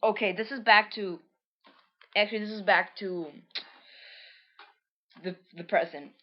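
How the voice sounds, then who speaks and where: A woman's voice: medium pitch, steady tone, a little slow, highs cut off. A woman casually reading aloud her old comic and commenting on it, rambling and correcting herself as she goes. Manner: rambling, self-correcting, chatty.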